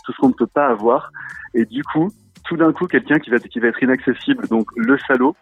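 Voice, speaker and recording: A man's voice: medium pitch, no room sound. A man guesting on a radio show, talking about his music in a friendly, eager way with plenty of fillers. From France. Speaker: singer-songwriter guest. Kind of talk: radio interview. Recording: tinny phone-line sound, dry.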